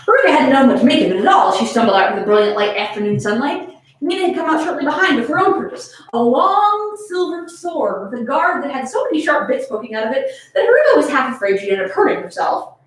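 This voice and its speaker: Echoey, animated woman reading fan fiction aloud. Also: high voice, quick pace. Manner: giggly, breaks into laughter while reading. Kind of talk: dramatic reading with casual banter. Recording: very echoey room, distant from mic.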